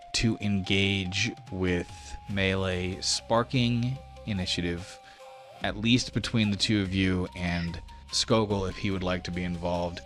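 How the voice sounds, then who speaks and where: A man narrating as game master of a tabletop game, explaining rules plainly and easygoingly with some fillers. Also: deep voice.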